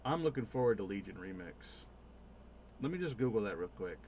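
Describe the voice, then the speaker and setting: A man's voice: on the low side, animated, a little fast, a little mellow, a little hiss or hum. A man chatting casually on a livestream while he plays a game. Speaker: game streamer.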